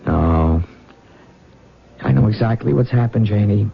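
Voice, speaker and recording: An actor playing an intense, insistent young soldier and jilted suitor in a radio drama, with a husky, gravelly voice. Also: male voice, on the low side, animated, measured pace, a little hiss or hum. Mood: brooding, determined. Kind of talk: old-time radio drama acting. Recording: dull, lo-fi radio recording.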